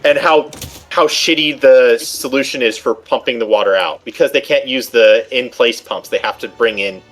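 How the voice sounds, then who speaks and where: A man's voice: mid-range voice, normal speed, a bit bright. A man chatting casually over voice chat while gaming, rambling and restarting his sentences as he rants. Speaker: streamer playing a strategy game with friends.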